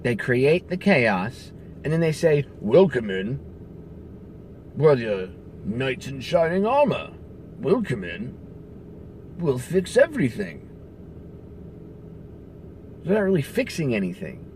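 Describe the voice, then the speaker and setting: A man delivers an angry, profane political rant, leaning on sarcasm and blunt emphasis. His pitch leaps up and down as he presses each point. A faint hiss sits under the recording. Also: huge pitch swings, slow, long pauses, a little mellow. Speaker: political commentary host. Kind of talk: ranting commentary.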